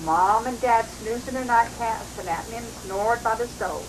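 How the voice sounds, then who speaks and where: A woman's voice: on the high side, a little slow. An older woman, a grandmother, reading a storybook aloud to children on a noisy old home recording. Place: at a family Christmas party.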